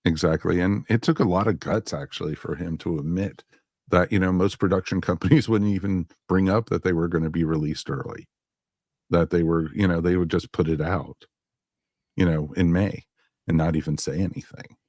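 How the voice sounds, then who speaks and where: A man's voice: low voice, normal speed, a little mellow, no echo, studio quality. A man hosting a podcast in a casual, enthusiastic and agreeable way. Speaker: podcast host.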